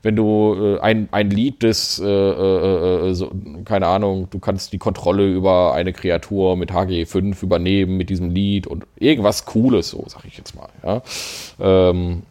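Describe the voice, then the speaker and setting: A man's voice: low voice, fairly even intonation, moderate pace, few pauses, dark tone. A man co-hosting a podcast, chatting casually about tabletop game rules, laughing often and using many fillers. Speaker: podcast co-host.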